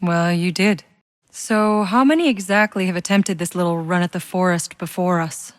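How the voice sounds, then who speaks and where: An actress playing a tough, sardonic, battle-hardened soldier, the protagonist of a video game, with a blunt, wry delivery. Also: female voice, lowish voice, moderate pace, no echo, clean studio sound, natural voice. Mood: serious, probing. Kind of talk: video game character voice lines.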